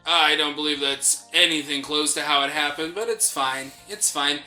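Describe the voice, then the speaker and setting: Sharp bright guy voice, a bit high, sarcastic gaming chatter with music underneath. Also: moderate pace. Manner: playful, sarcastic. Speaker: gaming show co-host. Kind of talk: casual bantering video game commentary.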